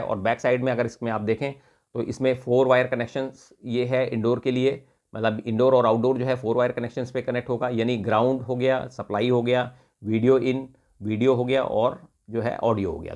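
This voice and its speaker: A man with an Indian accent talks through a product unboxing in an unscripted way. His voice sits at a medium pitch, and he explains each part, often restating things between Hindi and English. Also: darkish tone. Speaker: product reviewer.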